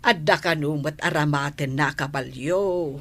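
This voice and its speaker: Slow, low-voiced old Ilocano wife. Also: female voice, a bit bright. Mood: concerned. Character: patient old wife of an old man. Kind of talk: Ilocano radio drama acting.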